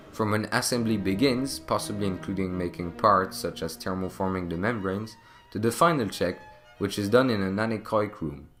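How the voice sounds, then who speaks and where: A man's voice: fairly low pitch, a bit bright. A man reading scripted narration as the narrator of a corporate video.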